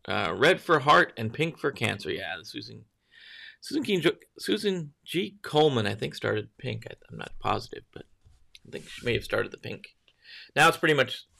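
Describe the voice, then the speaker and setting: A man with a gravelly, fry-edged voice chats loosely through a morning livestream. He greets viewers one by one, wanders between topics and corrects himself as he goes. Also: mid-range voice, expressive, normal speed, bright, forceful tone. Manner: rambling, greets viewers by name, self-correcting. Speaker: livestream host. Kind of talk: casual morning livestream chat.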